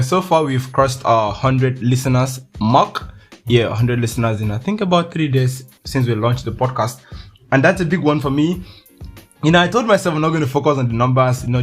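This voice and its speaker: Chatty young Nigerian man podcasting. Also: medium pitch. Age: young adult. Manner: chatty, laughs often, restarts words. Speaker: podcast host. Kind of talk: solo podcast monologue.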